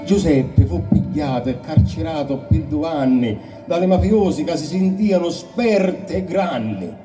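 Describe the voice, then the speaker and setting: A man's voice: a little high, animated, a little slow, dark tone, distant mic, faint music behind. A man with a Sicilian accent giving a solemn, declamatory recitation of a dramatic poem. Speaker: poetry reciter.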